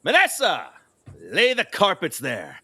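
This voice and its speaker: A man's voice: fairly high pitch, huge pitch swings, unhurried, creaky, pushed and bright, husky, no echo, clean studio sound, full character voice. An actor playing a decadent, superstitious, capricious king in a theatrical audio drama, volatile in manner. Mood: imperious, indulgent. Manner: volatile, swooping between musing and alarmed exclamation.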